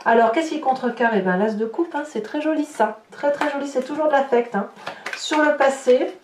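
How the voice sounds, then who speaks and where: A woman giving an informal tarot reading to viewers, in a halting way with repeated words and little tag questions. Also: high-pitched. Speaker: tarot reader presenting horoscope readings. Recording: roomy echo, distant mic, faint noise.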